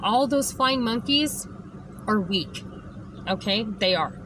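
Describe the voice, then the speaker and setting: A woman vlogger with a hoarse voice, talking emphatically straight to the camera and often tagging lines with "okay?". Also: on the high side, expressive, slow. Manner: emphatic, keeps adding "okay?". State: hoarse, lost voice. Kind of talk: direct-to-camera rant. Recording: background noise.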